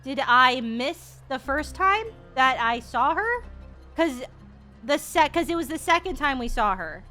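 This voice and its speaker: A woman streamer reacting to a game in rambling, animated, sweary casual chat. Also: sits high, unhurried, faint music behind.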